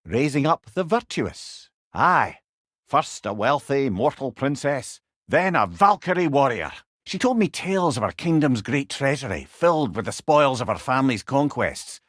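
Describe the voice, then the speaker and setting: An actor playing a wise, wry old companion in a video game, speaking with a Scottish accent in a folksy, eloquent way. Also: male voice, medium pitch, a bit bright, dry recording, studio quality. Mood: warmly encouraging. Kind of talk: video game character dialogue.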